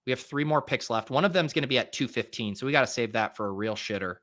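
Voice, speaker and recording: A man's voice: mid-range voice, a bit bright. A man with a nasal voice hosting a live stream, chatting casually and thinking aloud while he drafts fantasy football players. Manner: rapid, chatty thinking aloud with many fillers.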